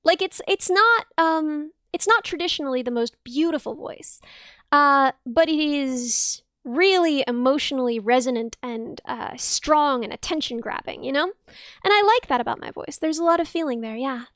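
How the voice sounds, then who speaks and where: A young woman streaming and chatting casually with viewers, a bubbly talker with a high, dense, slightly piercing voice who bursts into excited thanks. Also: normal speed, no room sound, studio quality. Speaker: streamer and musician. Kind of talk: casual livestream chat with viewers.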